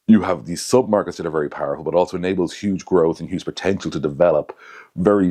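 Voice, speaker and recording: A man's voice: a bass voice, darkish tone. A young man hosting his own channel, giving casual basketball analysis to camera in a rambling way, often correcting himself and adding asides. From Ireland. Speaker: basketball commentator, channel host.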